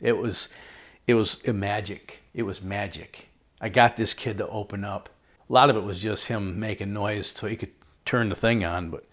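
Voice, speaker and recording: Low-voiced middle-aged American man, folksy reviewer. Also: fairly bright tone. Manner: folksy, jokey slang, storytelling. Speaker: host of a product review video. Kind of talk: casual product review chat.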